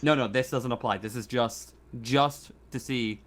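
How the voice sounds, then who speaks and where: A man's voice: mid-range voice, steady tone, unhurried. A man acting as game master, narrating and explaining rules in an informal, conversational way. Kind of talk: casual tabletop game narration and rulings. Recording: faint background noise.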